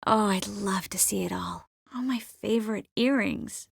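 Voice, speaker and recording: A woman playing a poised, wry, warm-hearted sorceress in a video game, composed and lightly teasing. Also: mid-range voice, unhurried, creaky, a little hiss or hum. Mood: hushed. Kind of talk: scripted game character dialogue.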